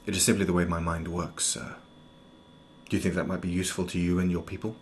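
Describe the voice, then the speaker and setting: A man playing an erudite, formal nineteenth-century doctor in an audio drama, speaking in precise, ornate sentences with a husky voice. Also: low-pitched, little pitch movement, quick pace, slight background noise. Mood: polite, composed. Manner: precise, ornate, old-fashioned diction. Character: erudite, formal 19th-century doctor, inwardly fearful, sharp-eyed. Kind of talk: scripted audio drama, journal narration and dialogue.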